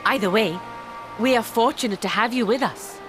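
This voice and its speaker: Actress playing a high-voiced determined researcher, music behind. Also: female voice, moderate pace, lightly acted. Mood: composed, resolute. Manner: formal, composed, precise. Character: dedicated, formal woman researcher, determined and composed. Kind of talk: video game cutscene acting. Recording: voice over game music.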